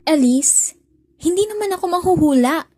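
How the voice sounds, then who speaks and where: A woman's voice: very high-pitched, lively intonation, normal speed, over loud music. A young woman with a Filipino accent playing a sassy, exasperated student in an amateur radio drama, slipping between casual talk and English phrases. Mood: impatient curiosity. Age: young adult. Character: sassy, exasperated young female student, a blunt best friend.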